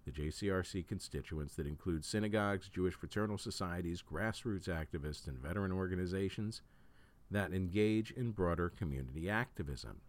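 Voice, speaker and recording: A man's voice: low-pitched, brisk. A man reading a written news article aloud as the host of a news program.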